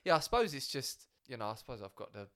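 Essex bloke rambling on a podcast, lots of like and you know, swears casually, middling voice. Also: male voice, mid-range voice, sing-song, fast talker, fairly bright tone. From Essex, England. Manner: rambling, swears, heavy filler words. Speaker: stand-up comedian, guest.

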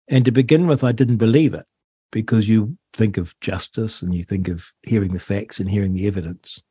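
A man's voice: medium pitch, warm tone, breathy edge, dry recording, studio quality. A middle-aged man with a New Zealand accent, hosting a talk radio show, speaking in an earnest and indignant way. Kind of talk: talk radio monologue and interview. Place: radio studio.

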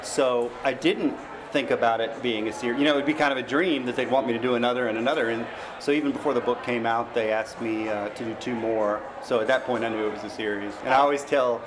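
A man's voice: medium pitch, fairly even intonation, fast, noisy background. A middle-aged American man from Tennessee, a novelist in a casual interview, telling anecdotes in a self-deprecating way. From Memphis, Tennessee, United States.

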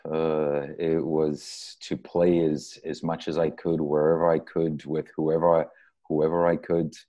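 Very deep-voiced South African man, middle-aged. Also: little pitch movement, measured pace, dark tone. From Durban, South Africa. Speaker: interview guest, soccer coach. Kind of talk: casual, reflective interview answers.

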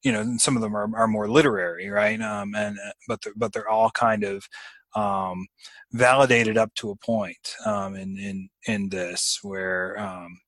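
A man with a fairly low voice hosts a casual literary discussion. He feels his way through ideas haltingly and often trails off. The sound is dry and close. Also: fairly even intonation, fairly bright tone, dry recording. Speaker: host of a book discussion.

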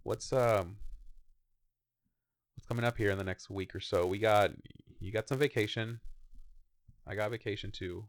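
Laid-back man in his thirties, low voice. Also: normal speed, long pauses, no room sound. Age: 30s. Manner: rambling, easygoing, swears casually. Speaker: podcast co-host. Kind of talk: casual chat.

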